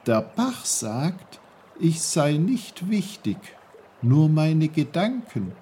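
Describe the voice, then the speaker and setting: A man's voice: fairly high pitch, big pitch swings, extremely slow, dark tone, slight background noise, exaggerated voice. A man voicing a curious, puzzled young boy, in a storybook reading.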